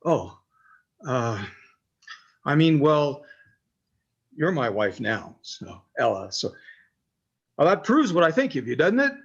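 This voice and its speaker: Man playing a high, swoopy-voiced nervous young husband. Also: very animated, slow, vocal fry, long pauses, breathy edge, lo-fi sound, heavily acted. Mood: nervous, reassuring. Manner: hesitant, stammering, boyish. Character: nervous, eager young husband, a mama's boy. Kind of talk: old-time radio drama acting.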